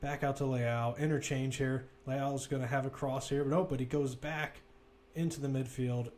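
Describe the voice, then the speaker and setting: A man's voice: mid-range voice, steady tone, moderate pace, a little mellow. A man with a mid-range voice commentates live on a football match. He talks through the play casually and conversationally, with the odd wry aside. Faint ambient noise sits behind his voice.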